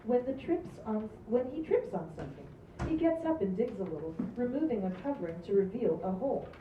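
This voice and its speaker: A woman's voice: on the high side, sing-song, brisk. A woman reading script stage directions aloud at a live table read. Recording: roomy, distant, muffled, very noisy live recording.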